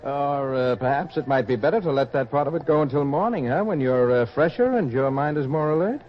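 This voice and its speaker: Guy doing slick smooth-talking party tempter, old radio drama, theatrical, highish voice, bit muffled. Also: expressive, natural voice. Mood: persuasive, beguiling. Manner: ingratiating, theatrical, drawn-out phrases. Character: smooth-talking, worldly hedonist man luring a youth. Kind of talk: old-time radio acting. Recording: muffled radio-play audio.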